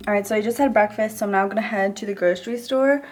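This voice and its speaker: A woman's voice: medium pitch, fast talker, a little mellow. A woman in her twenties, a grad student chatting casually in a vlog, sounding congested from allergies. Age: 20s. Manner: chatty, upbeat, rambling with many likes. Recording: noticeable background noise.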